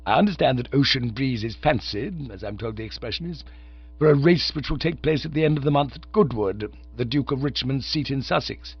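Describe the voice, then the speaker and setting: A man's voice: mid-range voice, animated, fairly quick, husky, no room sound, pitched above the performer's own voice. A man voicing a pompous, portly elderly lord who speaks in stately, formal, wordy phrases. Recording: dull lo-fi dry recording.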